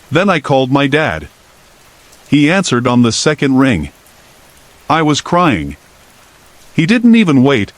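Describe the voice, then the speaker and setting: Man narrating horror stories over rain. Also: mid-range voice. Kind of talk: scripted narration. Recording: rain sounds behind the voice. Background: steady rain.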